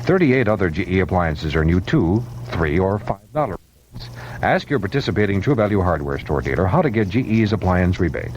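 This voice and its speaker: A man's voice: deep voice, very animated, a little fast, darkish tone. A man reading a 1970s radio advertisement as a commercial announcer. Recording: dull, faintly noisy vintage radio recording.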